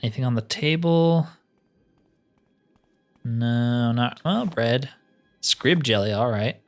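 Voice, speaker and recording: Guy doing a chill lets play, medium pitch, chatty, thinking out loud, faint game music behind. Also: slow pace. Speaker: gaming YouTuber playing a fantasy roleplay game. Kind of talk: casual let's-play commentary.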